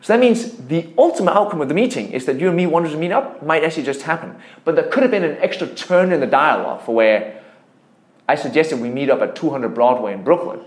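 A man's voice: on the high side, lively intonation, fast, soft background music. A man with a Danish accent explaining things in an interview as a startup founder, emphatically and through examples. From Denmark. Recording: echoey room.

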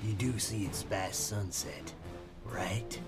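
A devout small-town local in an audio drama. His voice is fairly low, suspicious and threatening, over loud music. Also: fairly even intonation, takes it slowly. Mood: menacing.

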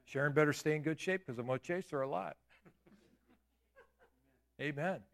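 A man in his late fifties preaching a sermon in a church, with emphatic declarations and quieter asides. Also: a little high, measured pace, vocal fry. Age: 50s. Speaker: pastor. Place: church sanctuary.

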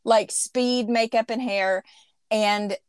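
A woman's voice: on the high side, fairly even intonation, slow pace, clear, resonant tone, no echo, studio quality. A woman in her thirties hosting a podcast, telling a rambling, chatty story. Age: 30s. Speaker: podcast host. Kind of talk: casual chat.

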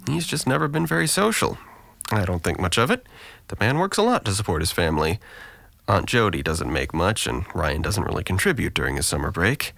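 A man's voice: sits low, big pitch swings, brisk, vocal fry, a bit bright, no room sound, full character voice. An actor playing a sarcastic, guarded, depressed young man with dry wit and an articulate, deflecting manner in an audio drama. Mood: detached, weary.

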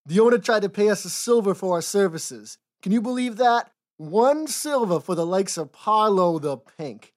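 A woman's voice: medium pitch, lively intonation, moderate pace, hardly any pauses, darkish tone, lightly acted. A woman voicing a sour, blustering old male knight with rustic, folksy grammar in a storybook tale. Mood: sly, self-justifying. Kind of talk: storybook character voice.

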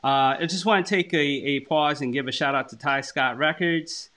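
A man's voice: on the high side, moderate pace, sharp tone. A man hosting an interview in a casual conversational style, enthusiastic and affirming. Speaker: interview show host.

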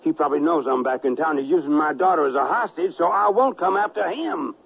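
An actor playing a gruff, restless, suspicious western colonel in a radio drama, gravelly and folksy in his talk. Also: a little high, expressive, fairly quick, full character voice. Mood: angry, suspicious. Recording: muffled, narrow-band 1970s radio broadcast.

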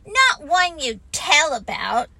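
Woman as fussy male rabbit, bossy, emphatic, high-pitched, swooping, storybook. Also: very animated, sharp tone, full character voice. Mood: brisk, dismissive. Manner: emphatic, hurried, flustered. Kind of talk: children's storybook character voice.

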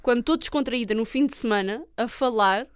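A woman's voice: on the high side, a little fast, smooth, no echo, studio quality. A young woman with an Algarve Portuguese accent, chatting casually and anecdotally as a podcast co-host. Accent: European Portuguese, Algarve. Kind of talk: casual chat.

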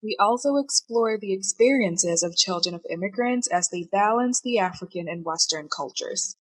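A woman's voice: medium pitch, moderate pace, husky, dry recording, low-quality recording. A woman reading a formal scripted welcome for a podcast. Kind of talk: scripted narration.

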